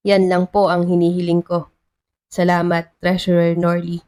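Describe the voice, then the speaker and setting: A woman's voice: on the low side, monotone, moderate pace, dark tone, lightly acted. A woman storyteller voicing a kind, polite and earnest male town mayor in a romance story. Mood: hopeful.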